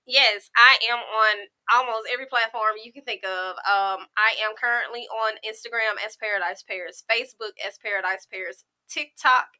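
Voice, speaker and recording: Energetic Southern woman, bright voice. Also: a little high, moderate pace, hardly any pauses, dry recording. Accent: Southern American. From Arkansas, USA. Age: 20s. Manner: energetic, emphatic. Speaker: podcast guest. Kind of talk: casual interview chat. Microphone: mic at some distance from the mouth.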